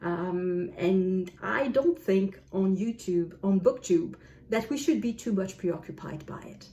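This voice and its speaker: Mellow-voiced French Canadian woman musing. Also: medium pitch, animated. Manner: thoughtful, unhurried, musing aloud. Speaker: book vlogger. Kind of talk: casual talk to camera.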